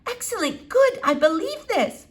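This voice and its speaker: A reader puts on an old foreign lady philosopher for a storybook. She speaks high and very melodically, in precise, quaint sentences. She is gentle and soothing, and given to wandering digressions. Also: very animated, unhurried, exaggerated voice. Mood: curious. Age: older. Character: elderly foreign widowed philosophy doctor, gentle, rambling, precise. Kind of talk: children's book read-aloud.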